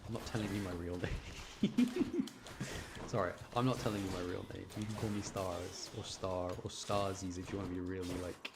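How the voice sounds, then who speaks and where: A man's voice: low-pitched, lively intonation, moderate pace, gravelly, background noise. A man giving casual, rambling live commentary while he plays a video game, chatting informally and muttering to himself. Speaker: streamer playing a video game. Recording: music playing behind. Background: video game music.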